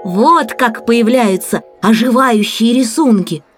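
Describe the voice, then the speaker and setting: A woman reads a children's story as an audiobook narrator, in an expressive scripted style. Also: fairly high pitch, takes it slowly. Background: background music.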